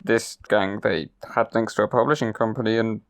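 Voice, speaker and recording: A woman's voice: very deep voice, steady tone, normal speed. A woman playing a pompous male writer, polite but flustered and stammering when cornered. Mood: explaining. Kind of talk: amateur radio play.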